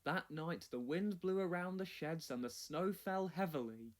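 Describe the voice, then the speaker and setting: A man's voice: fairly high pitch, expressive, measured pace. A man reading scripted narration for a children's storybook read-along.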